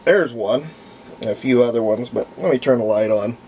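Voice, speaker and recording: A man narrating casual hands-on repair work, thinking aloud in short, halting phrases. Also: mid-range voice, a little mellow, a little hiss or hum, low-quality recording. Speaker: hobbyist demonstrating antique radio repair. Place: room at home, indoors.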